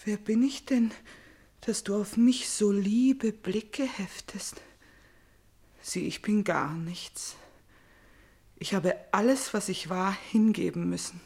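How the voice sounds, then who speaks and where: An actress playing a tormented, vengeful princess in a German radio drama, theatrical and moving between breathy whispers and fierce outcry. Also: female voice, mid-range voice, slow, pauses often, darkish tone, heavily acted. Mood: hushed, broken tenderness. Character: tormented, vengeful princess, fevered and obsessive, grieving her father.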